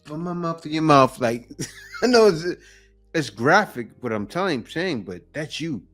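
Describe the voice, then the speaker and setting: A man's voice: on the high side, very animated, a little slow, fairly bright tone, faint music behind. A man hosting a reaction video, giving blunt, mocking, teasing commentary in a casual way.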